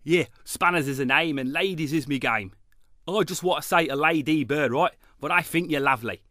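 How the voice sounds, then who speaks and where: A man's voice: on the high side, brisk, sharp tone, no room sound, clean studio sound. A man playing a randy, cheeky male dog in a comedy radio play, talking in a brash, laddish, slangy way. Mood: eager, cheeky.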